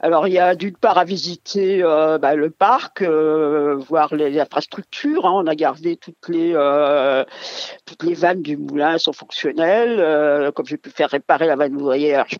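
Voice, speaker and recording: Older woman, halting and rambling. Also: low-pitched, a little mellow, no room sound, lo-fi sound. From France. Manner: halting, rambling, many fillers. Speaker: mill owner, radio guest. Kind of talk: radio interview.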